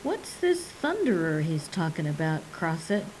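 A woman's voice: low-pitched, big pitch swings, moderate pace, darkish tone, a little hiss or hum, rough recording. A woman doing a curious, polite woman scientist. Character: kindly female geologist, curious.

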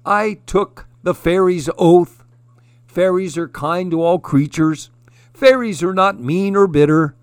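Man acting a plaintive, high-voiced storybook moose. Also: slow, clear, resonant tone, no echo, clean studio sound. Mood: earnest, determined. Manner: plaintive, earnest. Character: gentle miserable male moose longing to belong. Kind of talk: children's storybook character voice.

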